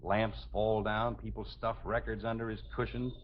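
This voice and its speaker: A man's voice: lowish voice, level tone, moderate pace, lightly acted. An actor playing a flustered, grumbling middle-aged husband and father in the style of a 1950s radio sitcom, hemming and blustering. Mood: exasperated, defensive. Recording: muffled old 1950s radio broadcast, faint noise.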